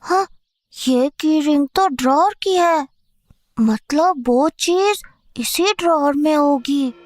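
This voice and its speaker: A performer playing an excited little boy in a Hindi horror audio drama, eager and insistent. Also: high voice, takes it slowly, slight background noise. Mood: thrilled, curious. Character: excited, pleading little boy on a treasure hunt.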